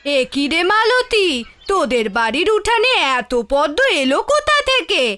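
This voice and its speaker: A woman's voice: very high voice, sing-song, measured pace, pushed and bright, slight background noise, heavily acted. A woman voicing a nosy, scoffing middle-aged village neighbour in a cartoon, exclaiming in a folksy way. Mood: amazed. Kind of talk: cartoon fairy-tale voice acting.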